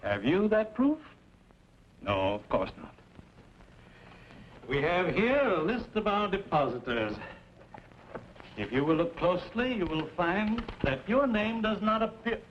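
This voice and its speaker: A muffled, hissy old radio recording carries a weaselly middle-aged banker from a western drama. His voice sits fairly high and swings widely in pitch as he halts, wheedles and feigns an uncle's kindness. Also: sing-song. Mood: officious, smug. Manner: halting, wheedling, pleading.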